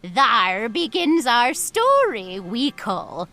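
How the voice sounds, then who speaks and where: Ancient cackling witch, wildly swooping pitch. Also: wildly swooping pitch, bright, forceful tone, heavily acted. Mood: eerie, inviting. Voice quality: cackling, creaky old-crone voice. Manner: slow, gleeful, theatrical, folksy. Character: ancient cackling witch storyteller, gleefully macabre, aged 116. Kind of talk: old-time radio horror acting.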